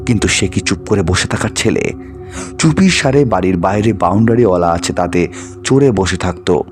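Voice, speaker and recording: Male narrator for ghost stories, deep-leaning voice, very lively intonation, music underneath. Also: moderate pace. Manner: expressive, acts out the dialogue of characters. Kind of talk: dramatized scripted narration of a ghost story.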